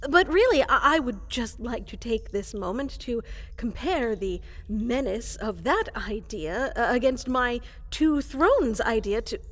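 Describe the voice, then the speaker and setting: A woman playing a fluffy, woolly llama-like creature in a fantasy roleplay, eager to serve as an evil advisor, speaking with flustered, hesitant politeness. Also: a little high. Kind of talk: roleplay ASMR character acting. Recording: long reverberant hall echo.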